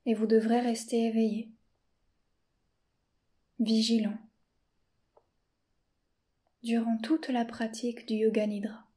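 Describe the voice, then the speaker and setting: A woman guides a yoga nidra session, her voice slow and unhurried. Her tone is mellow and dark, and she keeps her pitch steady and flat. She often drops to a soft whisper. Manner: slow, soft-spoken and calm, with long pauses. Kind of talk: guided meditation.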